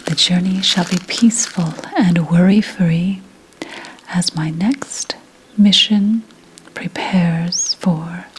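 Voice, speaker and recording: A woman playing a shrewd, kindly 17th-century witch in a hushed, deliberate, mostly whispered ASMR roleplay. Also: on the low side, slight background noise, low-quality recording.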